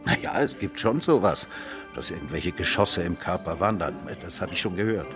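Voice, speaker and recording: A man's voice: low-pitched, normal speed, over music, lightly acted. An actor playing a world-weary, cynical man who philosophizes in a rambling, theatrical, mock-courteous way in a radio drama. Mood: musing, dry. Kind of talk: German radio drama dialogue.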